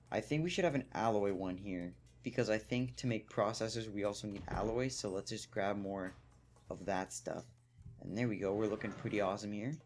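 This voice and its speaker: A man's voice: fairly low pitch, a little hiss or hum. Young man rambling through gameplay. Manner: rambling, thinking aloud with fillers. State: slightly hoarse. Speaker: gameplay commentator. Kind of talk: casual let's play commentary.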